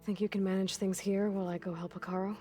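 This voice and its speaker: An actress voicing a determined young woman hunter in a video game who speaks calmly and tersely, with a clipped, focused manner. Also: medium pitch, fast talker, natural voice. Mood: resolute. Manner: calm, clipped, resolute. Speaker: game protagonist. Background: game music.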